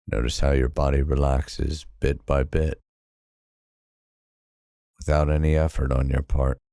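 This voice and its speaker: Very deep, mellow male hypnosis guide. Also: no room sound, clean studio sound. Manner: calm, soothing, unhurried with long pauses. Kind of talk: guided sleep hypnosis narration.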